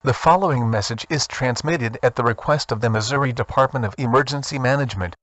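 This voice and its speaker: A man's voice: medium pitch, fairly bright tone, dry recording, lightly acted. Man acting as a solemn emergency newsreader, dry sound. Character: solemn male emergency newsreader announcing a disaster. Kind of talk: scripted emergency broadcast narration.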